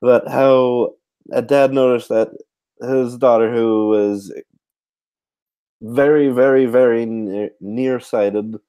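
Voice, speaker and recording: Male podcast host, unhurried and halting, mellow smooth voice, casual conversational delivery. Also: mid-range voice, fairly even intonation. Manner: halting, measured phrasing with pauses. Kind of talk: casual chat.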